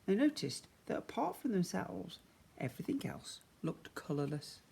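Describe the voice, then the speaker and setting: A man reads a children's story aloud in a teacherly way. His delivery is expressive, with emphatic phrasing suited to young listeners. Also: high-pitched, moderate pace, creaky, a little hiss or hum. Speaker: primary school teacher.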